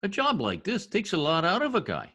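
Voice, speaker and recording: A man's voice: high-pitched, wildly swooping pitch, brisk, background noise, full character voice. A man acting in an old-time radio comedy as a needling, boastful husband with folksy, mangled grammar and a teasing manner. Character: gleeful needling blowhard husband, bungling new census taker. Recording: remote video-call radio play recording.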